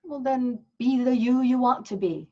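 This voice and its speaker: A woman's voice: normal speed, a little mellow. A woman playing an encouraging romance coach in a comic play table read, urging and reassuring, on muffled audio. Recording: dull, muffled low-fidelity audio.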